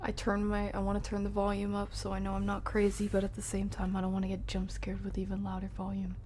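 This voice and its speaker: A woman's voice: medium pitch, monotone, quick pace, a little mellow, slight background noise. A woman doing casual, chatty live commentary as she streams a horror game, reacting and often muttering to herself.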